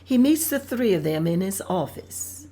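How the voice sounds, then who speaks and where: A woman's voice: medium pitch, expressive, a little slow, warm tone. A woman reading out scene directions at a script table read in a plain, descriptive manner.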